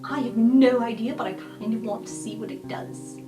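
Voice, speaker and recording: A woman's voice: on the high side, steady tone, normal speed. A woman playing a tabletop game, chatting and improvising casually in a playful, jokey way. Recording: echoey, distant mic, music behind. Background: guitar music.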